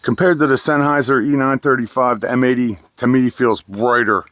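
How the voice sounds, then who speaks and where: A man's voice: mid-range voice, fairly even intonation, normal speed, fairly bright tone, no echo, clean studio sound. A middle-aged man with a nasal voice, giving a plain, friendly product review.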